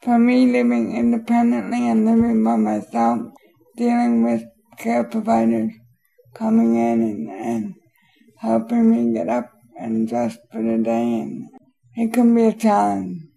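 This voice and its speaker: A woman living independently with help from care providers, speaking deliberately and thoughtfully. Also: on the high side, lively intonation, vocal fry, dark tone, rough recording.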